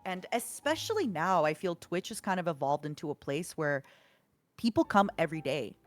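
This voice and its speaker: Animated, encouraging woman streamer. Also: lowish voice, moderate pace, soft background music. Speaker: streamer guest. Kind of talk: casual podcast chat.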